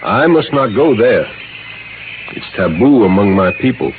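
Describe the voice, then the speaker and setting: An actor playing a grave, cautious swamp guide in a 1950s radio drama, speaking in careful, formal phrases. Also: male voice, fairly low pitch, very animated, measured pace. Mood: reluctant, grave. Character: soft-spoken, reluctant swamp guide and father, grave, cautious. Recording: muffled, noisy old radio recording.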